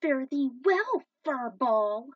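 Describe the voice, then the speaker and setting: A woman's voice: sits high, very animated, slow pace, no echo, voice pushed up, exaggerated voice. A woman voicing a menacing, theatrical male villain minion with a grandiose, taunting delivery, in a story. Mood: mocking, gloating. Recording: dull, distant microphone.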